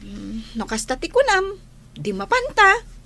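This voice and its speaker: A woman's voice: very high voice, huge pitch swings, measured pace, gravelly, bright, forceful tone, exaggerated voice. A woman playing a caring, earnest young schoolgirl in an Ilocano radio drama. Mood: light, agreeable. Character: caring young schoolgirl, gentle and sympathetic friend.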